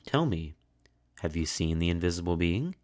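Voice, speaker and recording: A man's voice: deep voice, big pitch swings, moderate pace. A man voicing a stern, commanding woman who guards her mysterious brother in a children's storybook. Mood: probing. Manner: quiet questioning that turns suddenly forceful. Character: stern, commanding sister of a powerful invisible being.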